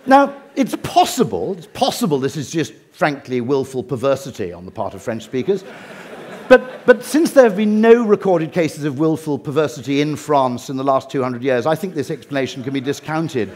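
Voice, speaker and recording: A man's voice: a little high, very animated, normal speed, a little mellow. A middle-aged English man giving a humorous talk, with dry wit and ironic asides. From England. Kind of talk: humorous lecture.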